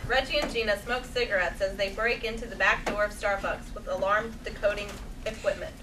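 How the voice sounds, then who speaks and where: A woman's voice: mid-range voice, steady tone, a little fast. A woman reading scripted stage directions at a live table read. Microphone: far camera microphone. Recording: distant echoey noisy stage recording.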